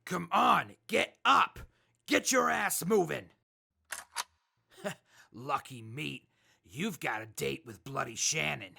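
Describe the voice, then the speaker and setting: A man's voice: on the high side, expressive, takes it slowly, creaky, long pauses, sharp tone, slightly rough, heavily acted. A man playing a sadistic wasteland raider in an audio drama, gleeful and creepy, lingering over his words about gore. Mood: aggressive, taunting. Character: sadistic male wasteland raider who savors torture and gore. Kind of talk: post-apocalyptic audio drama acting.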